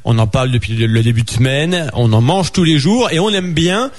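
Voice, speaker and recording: A man hosting a live radio show, chatting with guests in a lively way with many fillers. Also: medium pitch, fairly quick. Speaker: radio host.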